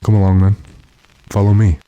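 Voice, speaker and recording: An actor playing a stranded gentleman in an old-time radio drama, commanding and dryly unruffled. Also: lowish voice, completely flat delivery, brisk, highs cut off, background noise, lightly acted.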